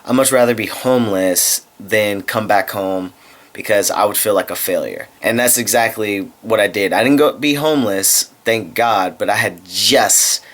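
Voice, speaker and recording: A man's voice: on the low side, moderate pace, a bit bright. A man in his twenties chatting casually to his camera while lying in bed, rambling and candid with a self-deprecating streak. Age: 20s. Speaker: vlogger. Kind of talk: casual vlog chat to camera. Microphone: handheld camera mic.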